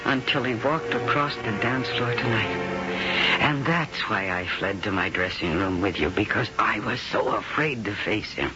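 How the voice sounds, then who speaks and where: A woman's voice: very deep voice, expressive, normal speed. An actress playing a glamorous, flirtatious woman singer in a 1970s radio drama, with a theatrical manner. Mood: hurried. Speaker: leading lady. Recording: muffled old radio broadcast with music.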